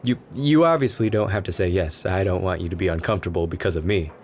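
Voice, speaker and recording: Man playing a shy adorkable guy, deep gravelly voice, gentle nervous rambling, asmr roleplay. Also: quick pace. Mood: nervous, hopeful. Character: shy, anxious, adorkable young stranger in a coffee shop. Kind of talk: ASMR roleplay, soft conversational monologue. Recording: background ambience noise. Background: coffee shop ambience.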